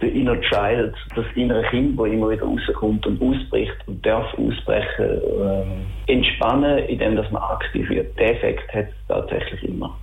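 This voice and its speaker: A low-pitched middle-aged man with a Schaffhausen Swiss German accent talks in a casual interview. His voice has a creaky, gravelly grain and comes through thin, like a phone line. He feels his way through answers, haltingly but with enthusiasm. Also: steady tone, a little hiss or hum. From Schaffhausen, Switzerland. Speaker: interviewed band musician.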